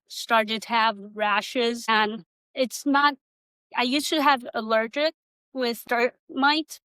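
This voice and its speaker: A woman's voice: on the high side, fairly bright tone, clean tone, no room sound, poor audio. A middle-aged woman from Taiwan giving an informal workshop talk, rambling and conversational, with non-native English grammar. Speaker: workshop presenter, acupuncturist.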